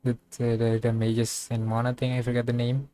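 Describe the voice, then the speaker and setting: A man giving casual playthrough commentary as a game streamer, musing aloud and thinking things through haltingly. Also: medium pitch, completely flat delivery, warm tone, clear, resonant tone. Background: game music.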